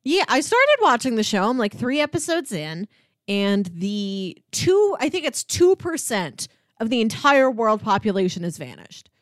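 A woman's voice: a little high, very animated, a little slow, no echo. Casual woman podcast co-host, dry close sound. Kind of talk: casual film and TV podcast chat.

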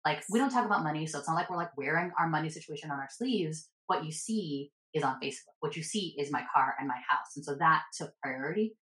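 A woman with a low voice talks fast and playfully, often slipping into acted-out quotes. She sounds like she is having a casual podcast chat. The room around her is echoey. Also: expressive, fairly bright tone. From United States, Filipino family.

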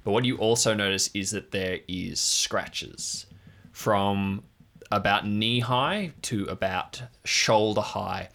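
A man's voice: fairly low pitch, takes it slowly, vocal fry, fairly bright tone. A man acting as game master, narrating an improvised tabletop game and describing scenes to players.

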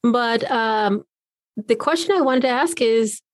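A woman hosting a podcast, speaking in an earnest, encouraging way in drawn-out phrases. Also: fairly high pitch, no echo, studio quality. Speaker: podcast host. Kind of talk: casual interview chat.

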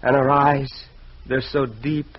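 An American actor playing a genial, excitable and dreamy department store manager in an old-time radio drama, earnest and expressive. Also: male voice, mid-range voice, takes it slowly, husky. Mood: tender, sincere. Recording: muffled, noisy old radio recording.

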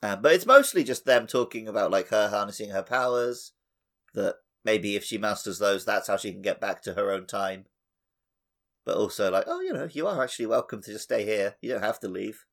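A man's voice: fairly low pitch, expressive, a little fast, gravelly, fairly bright tone. A man chatting casually as a podcast host.

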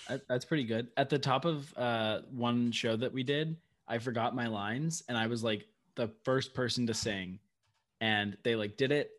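A man's voice: medium pitch, moderate pace. A young man co-hosting a podcast in casual chat, telling rambling stories. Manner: chatty, rambling storyteller. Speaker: podcast co-host.

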